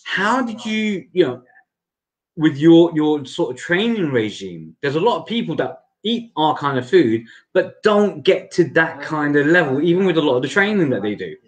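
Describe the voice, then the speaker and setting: A man's voice: on the high side, sing-song, moderate pace. A man hosting a casual livestream interview, talking loosely and repeating words as he thinks. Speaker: host.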